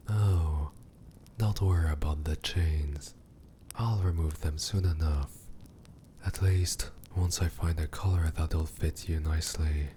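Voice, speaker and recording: An extremely deep, dark demon king played by a man speaks slowly and deliberately in an ASMR roleplay. He is cruel and possessive, with a condescending, coolly amused menace. The voice is mellow and breathy, over a faint low rumble. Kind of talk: scripted ASMR character roleplay.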